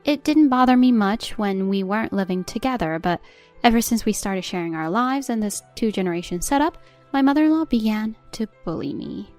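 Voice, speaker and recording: A woman narrating a scripted first-person story in an even, measured reading. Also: medium pitch, soft background music.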